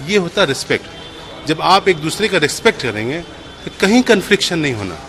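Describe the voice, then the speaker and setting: A man's voice: on the high side, very animated, brisk, fairly bright tone, a little hiss or hum. A middle-aged or older man giving emphatic, explanatory interview answers, dropping in English words. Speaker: mosque committee president.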